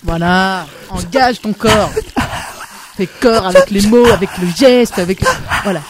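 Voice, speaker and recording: A woman's voice: medium pitch, unhurried, noisy background, close to an everyday voice. A woman playing a chatty miming coach and interpreter in an amateur radio play, giving lofty advice with lots of fillers. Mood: eager.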